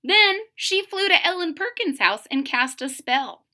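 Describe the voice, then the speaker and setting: A woman's voice: extremely high pitch, big pitch swings, a little slow, bright, forceful tone. A woman reading a children's picture book aloud expressively, with explanatory asides to her young listeners. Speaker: storybook reader.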